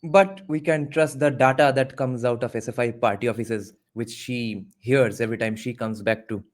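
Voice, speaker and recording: A man's voice: mid-range voice, fairly even intonation, normal speed. A man hosting a live stream, mocking a clip with sarcastic asides and laughter into a close mic. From India. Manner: sarcastic, laughing, swears freely. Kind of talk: casual mocking commentary.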